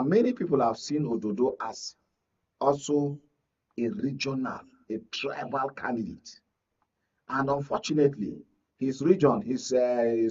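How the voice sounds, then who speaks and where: A man's voice: mid-range voice, darkish tone, slightly rough. A Nigerian man speaking as a media analyst, explaining politics and often checking that the listener understands. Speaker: media analyst, studio or call-in guest. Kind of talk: political analysis in live discussion. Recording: muffled, distant from mic.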